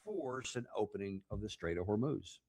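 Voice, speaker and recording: A man with a slightly low voice hosts a casual interview. He talks haltingly and repeats himself. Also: animated, dark tone. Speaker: interview host.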